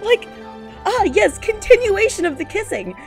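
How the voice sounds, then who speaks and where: A woman's voice: extremely high pitch, very animated, normal speed, vocal fry, faint music behind. A woman streamer in a giggly, slangy, incredulous style, reading aloud and reacting casually.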